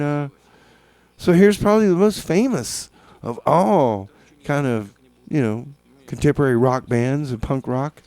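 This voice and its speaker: A man hosting a community radio music show, chatting casually in a halting, rambling way with deadpan comedy. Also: on the high side, dry recording. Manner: hesitant, rambling, halting with repeated words. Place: small community radio studio.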